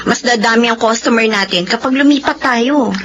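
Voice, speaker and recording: A woman's voice: on the high side, normal speed, fairly bright tone, slight background noise, lightly acted. An actress playing a young wife and mother frustrated by her mother-in-law in a radio-style drama. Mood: earnest. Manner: earnest, complaining.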